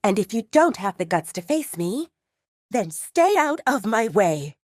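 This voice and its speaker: A woman voicing a stern, protective female werewolf second-in-command in an audio drama, firm and sharp-tongued. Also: medium pitch, very animated, a little slow, dry recording, clean studio sound, pitched above the performer's own voice. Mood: snapping, challenging. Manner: firm, sarcastic.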